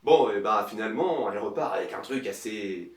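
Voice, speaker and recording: A man's voice: on the low side, expressive, fairly quick. A man narrating a sarcastic parody film review in a mocking, theatrical manner. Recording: roomy, distant mic, faint noise.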